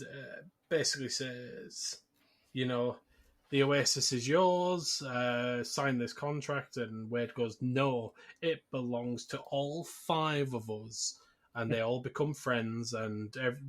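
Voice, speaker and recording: A man's voice: medium pitch, fairly even intonation, slow pace. A man hosting a podcast, recounting a film casually and jokily, acting out bits as he goes. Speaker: podcast host. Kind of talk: casual film recap chat.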